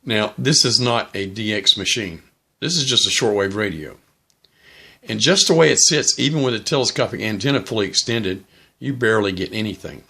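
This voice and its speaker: A middle-aged man casually explaining and demonstrating as a hobbyist vlogger, in a plain-spoken, unhurried way. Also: fairly low pitch, animated, creaky, bright, forceful tone, breathy edge. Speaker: vlogger, shortwave radio hobbyist.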